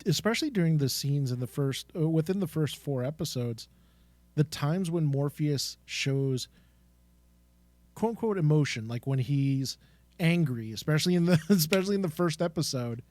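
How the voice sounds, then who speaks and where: A man's voice: fairly high pitch, animated, moderate pace, darkish tone, no echo. A man co-hosting a fan podcast, chatting casually in an enthusiastic, agreeable manner. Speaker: podcast co-host.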